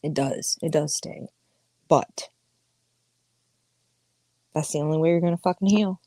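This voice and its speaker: A woman's voice: deep voice, a little slow, warm tone, no echo. A woman in her thirties hosting a podcast, talking candidly and emphatically in a casual livestream monologue, with frequent swearing. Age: 30s. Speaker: podcast host.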